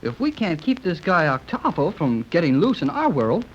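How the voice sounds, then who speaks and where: An eager young man, lively and folksy, lo-fi old radio. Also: a little high, moderate pace. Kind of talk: 1930s radio acting. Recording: muffled old radio recording, faint hiss.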